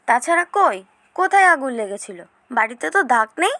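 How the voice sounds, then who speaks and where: A woman playing a teasing, sceptical older sister who questions briskly in an audio story. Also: high voice, big pitch swings, sharp tone, heavily acted. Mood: sceptical, curious. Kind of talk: Bengali audio story acting.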